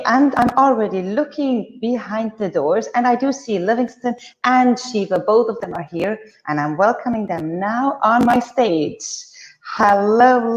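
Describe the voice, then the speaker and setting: An upbeat woman from Austria hosts a live discussion over a video call, greeting guests warmly with the odd filler. Her middle-pitched voice sits in a roomy echo, the audio a little dull with faint hiss. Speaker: live-stream host.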